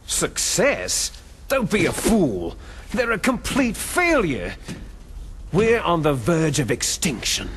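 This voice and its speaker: A grandiose, slow-talking villain. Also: male voice, a little high, huge pitch swings, a bit bright, slight background noise, exaggerated voice. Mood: bitter, lecturing. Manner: grandiose, contemptuous, theatrical monologuing. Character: menacing, arrogant male villain obsessed with genetic fate. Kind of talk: dramatic video game acting. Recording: background music under the dialogue.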